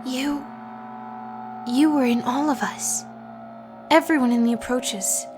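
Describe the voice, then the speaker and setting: A woman's voice: sits high, steady tone, slow pace, over music. A woman voicing a troubled, earnest teenage village girl in a fantasy audiobook. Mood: troubled, pleading. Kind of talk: audiobook character acting.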